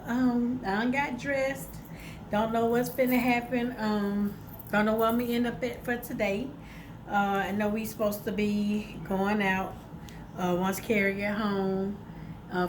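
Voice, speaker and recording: A woman's voice: medium pitch, noisy background. A woman with a congested, stuffed-up voice, talking casually and encouragingly to her viewers at home, full of fillers. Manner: chatty and informal, addresses viewers as y'all, many fillers. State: congested from sinuses. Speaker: vlogger. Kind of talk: casual motivational vlog to viewers. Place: at home, by a window.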